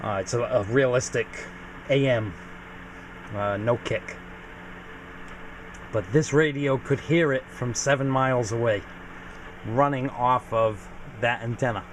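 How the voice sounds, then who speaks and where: A man's voice: mid-range voice, unhurried, pauses often, slight background noise, poor audio. A man giving a casual, informal equipment walkthrough as a hobbyist video host, explaining things plainly. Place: home garage.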